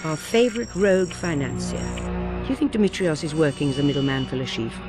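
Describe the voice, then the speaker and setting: A woman playing a stern, authoritative older British intelligence chief in a video game, speaking in measured briefings. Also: sits low, animated. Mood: brisk, wary. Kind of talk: cinematic game dialogue. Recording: music behind the voice.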